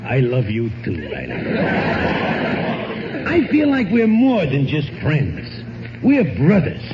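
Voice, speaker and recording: An American actor playing a wisecracking, cheapskate working-class neighbor with ungrammatical speech in an old radio comedy. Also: male voice, fairly high pitch, wildly swooping pitch, takes it slowly, heavily acted. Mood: mock-sincere. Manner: ungrammatical, mock-noble wisecracking. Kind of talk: 1940s radio sitcom acting. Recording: very noisy, muffled vintage radio broadcast.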